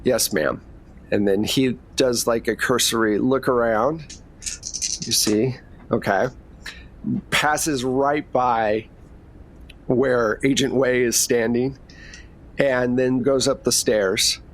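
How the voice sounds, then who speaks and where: A man's voice: medium pitch, fairly even intonation, slow pace, pauses often. A man narrating a tabletop game as game master in a casual, explanatory, conversational way.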